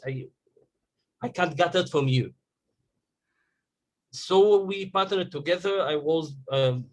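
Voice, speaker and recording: Egyptian guy on a video call, second-language english, lots of pauses and restarts, slightly high voice. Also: a bit bright, low-quality recording. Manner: halting, searching for words. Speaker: agency owner sharing story. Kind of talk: casual group call.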